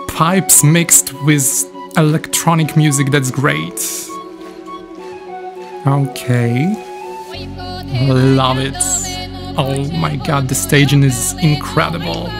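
A young man with a Ukrainian accent, hosting a casual music reaction, enthusiastic and quick to exclaim, speaking into a studio mic in a small room. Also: fairly high pitch, fairly even intonation, gravelly. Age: young adult. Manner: enthusiastic, exclaiming and laughing often. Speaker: YouTube reaction host. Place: small indoor room with a patterned curtain. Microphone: studio microphone in front of him. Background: the song he is reacting to playing.

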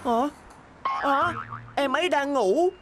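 A woman's voice: high-pitched, slow pace, noisy background. A woman voicing a cheerful, friendly young boy bus in a children's cartoon dub, kind and big-brotherly. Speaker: cartoon character. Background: cartoon music.

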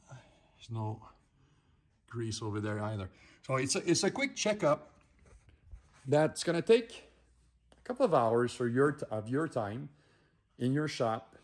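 Chatty man in a garage workshop. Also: mid-range voice. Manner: chatty, rambling, with many restarts and "uh" fillers. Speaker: shop vlog host. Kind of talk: casual how-to talk. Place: indoor garage workshop with ATVs.

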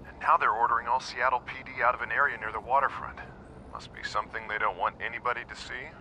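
A man's voice: mid-range voice, quick pace, sharp tone, a little hiss or hum, low-quality recording. An actor playing a cautious, protective American lawman in a video game, giving terse, earnest instructions. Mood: brisk, wary.

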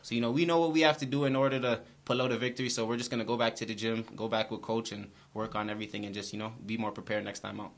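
A young male basketball player answers reporters quickly in a low, even voice that barely rises or falls. He speaks steadily into a table mic in a small brick-walled room, looping back over the same phrases. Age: young adult. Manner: flat, steady, repeats phrases. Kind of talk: postgame press conference answers. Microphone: table mic in front.

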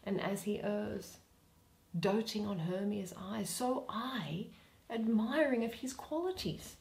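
A young woman reading a play in verse aloud, dramatically and expressively, pausing between phrases. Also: medium pitch, creaky, a little mellow. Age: young adult. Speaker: reader of a Shakespeare play. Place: small plain room indoors.

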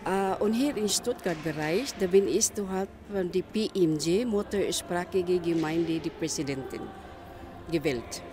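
A woman's voice: on the low side, a little slow, background noise. A woman in her sixties from the Philippines with a Filipino accent, answering interview questions hesitantly with many fillers. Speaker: community president.

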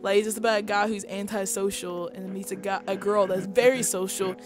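A woman's voice: medium pitch, fairly even intonation, a little fast, over music. A woman from Kentucky hosting a podcast, chatty and bubbly, often tripping over her words. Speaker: podcast host. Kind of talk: casual podcast chat.

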